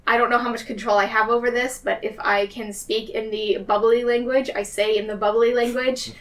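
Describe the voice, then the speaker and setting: A woman's voice: a little high, level tone, fairly quick, fairly bright tone, distant mic, low-quality recording. A woman chatting casually as a player in a tabletop role-playing game. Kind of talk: casual chat, playing in character.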